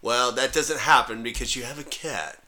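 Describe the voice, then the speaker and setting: A man's voice: medium pitch, normal speed, a loud crowd. A man co-hosting a podcast with blunt, salty, joking anecdotes in casual banter. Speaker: podcast co-host.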